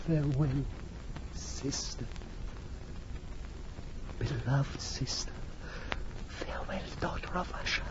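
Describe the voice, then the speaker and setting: Actor as a slow, brooding, tormented aristocrat, vintage radio. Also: male voice, medium pitch, natural voice. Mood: tender anguish. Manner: brooding, halting, theatrical. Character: tormented, morbid aristocrat, grieving brother haunted by dread. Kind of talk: 1940s radio drama acting. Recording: noisy, muffled 1940s radio recording.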